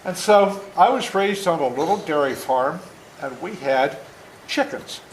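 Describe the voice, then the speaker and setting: A man's voice: on the high side, lively intonation, unhurried. Older man storytelling in an echoey room. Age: seventies. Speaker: author reading to schoolchildren. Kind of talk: storytelling talk and read-aloud to children. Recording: echoey room with faint noise.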